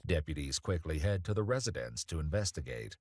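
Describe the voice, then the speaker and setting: A man's voice: a bass voice, lively intonation, a little fast, a little mellow, no echo, clean studio sound. A man reading a scripted true-crime narration about police footage as the narrator.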